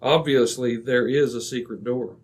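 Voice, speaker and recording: A man playing a commanding, impatient detective in an old-time radio drama, crisp and didactic. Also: mid-range voice, moderate pace, lightly acted. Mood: assured, deductive. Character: commanding, razor-sharp, impatient male detective.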